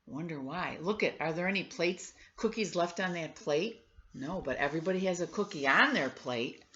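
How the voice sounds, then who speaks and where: A woman's voice: lowish voice, animated, moderate pace, bright, forceful tone, dull audio. A woman reading a storybook aloud to children, coaxing them with questions and exclaiming in conversational asides. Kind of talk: read-aloud storytelling with questions to young listeners.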